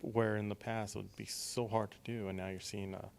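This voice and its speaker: A man's voice: lowish voice, little pitch movement, brisk, vocal fry, a little mellow. A man hosting a casual promotional interview in an encouraging, complimentary manner. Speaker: interview host.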